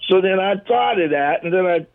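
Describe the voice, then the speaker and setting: This is an older man calling in to a radio show, his voice gruff and a touch high. He wanders from thought to thought in a folksy, easygoing ramble. The sound is narrow and tinny, like a telephone line. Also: expressive, brisk. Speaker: caller. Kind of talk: casual phone-in chat.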